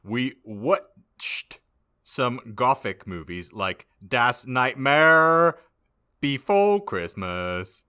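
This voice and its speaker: A man's voice: medium pitch, expressive, drawn-out words, bright, forceful tone, smooth. Theatrical man reading with big swings. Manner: theatrical, heavily emphatic, swinging in volume. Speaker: podcast host reading aloud. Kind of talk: mock-dramatic comedic reading of fan fiction.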